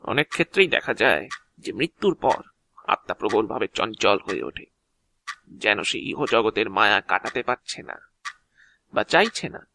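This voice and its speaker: Man playing theatrical, bright-voiced agent of Death. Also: fairly low pitch, no echo, heavily acted. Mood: grave, explaining. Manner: theatrical, explanatory, official yet chatty. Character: talkative male agent of Death, ancient, proud of his job. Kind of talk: Bengali audio drama acting.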